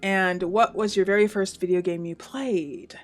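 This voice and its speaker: A woman's voice: mid-range voice, fairly even intonation, a little slow, faint music behind. Chatty Northwest American woman, gaming vlog. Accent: Pacific Northwest American with slight Southern and Canadian hints. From Washington State, USA. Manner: chatty, laughs often, self-deprecating asides. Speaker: gaming YouTuber. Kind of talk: casual Q&A monologue.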